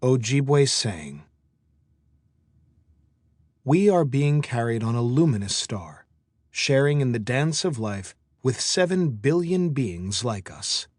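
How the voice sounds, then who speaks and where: Man narrating audiobook, lively intonation, dry sound. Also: medium pitch, slow pace, dry recording. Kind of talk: scripted audiobook narration of a meditation and self-help book.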